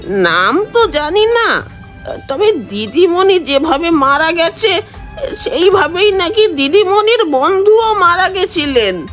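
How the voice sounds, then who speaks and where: An anxious servant woman acted by a woman in an audio drama, with a high, swooping voice. She speaks haltingly and unhurriedly in simple everyday words, over background music. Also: very animated, a bit bright, exaggerated voice. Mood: hesitant, uneasy. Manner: hesitant, halting, plain colloquial speech. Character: anxious female household servant being questioned.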